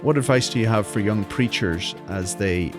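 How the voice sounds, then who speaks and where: A man's voice: lowish voice, moderate pace, over music. A man hosting a podcast interview, asking friendly, curious questions and murmuring along with his guest. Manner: friendly and inquisitive, with frequent murmured agreement. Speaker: podcast host.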